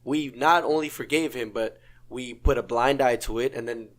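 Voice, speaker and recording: A man co-hosting a casual podcast, pushing his opinions with growing heat. His voice is going hoarse, and he keeps circling back to hammer his point. He has a mid-range pitch for a man. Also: moderate pace. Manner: argumentative, builds to hyped outbursts. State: losing his voice. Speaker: podcast co-host.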